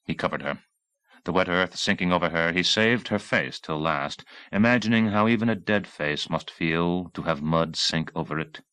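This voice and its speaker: A man reading an action novel as an audiobook narrator, with intense, deliberate phrasing in short bursts. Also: deep voice, fairly bright tone, clear, resonant tone.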